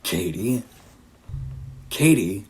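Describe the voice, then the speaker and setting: A man playing a distraught, obsessive middle-aged father in an audio drama, haunted, halting and repeating himself. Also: fairly low pitch, animated, a little mellow, slightly rough, heavily acted. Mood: shocked, urgent. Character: distraught obsessive father, a searcher recording his nightmare. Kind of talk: audio drama monologue and dialogue.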